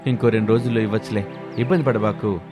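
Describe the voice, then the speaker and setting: A man voicing a trusting, kindly village husband in a cartoon moral story, gentle and obliging. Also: lowish voice, steady tone, fast talker, over music, close to an everyday voice.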